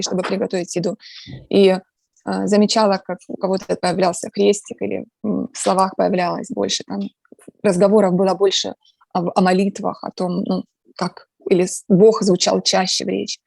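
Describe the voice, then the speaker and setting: Ukrainian woman guest, gravelly, reflective, earnest, lots of fillers, close and dry sound. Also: medium pitch, a little mellow, dry recording. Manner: earnest, talks about faith. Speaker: interview guest. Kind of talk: casual conversational interview.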